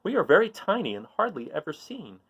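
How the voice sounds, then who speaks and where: A man reading a children's picture book aloud in an informal, expressive way, putting questions to young listeners and encouraging them. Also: fairly high pitch, a little fast, creaky, husky, muffled recording. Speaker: read-aloud storyteller.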